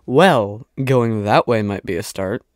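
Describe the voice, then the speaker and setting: Audio drama ranger , acted by a woman: laid-back, deep female register, animated intonation, dry recording. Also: slow, no echo, full character voice. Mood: matter-of-fact. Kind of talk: audio drama character acting.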